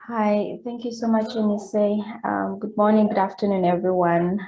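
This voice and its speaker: A hoarse woman with a cold, speaking as a panelist in a hesitant way, with many fillers and restarts. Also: mid-range voice, fairly even intonation, normal speed, dull audio. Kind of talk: panel talk on a video call. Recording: echoey room.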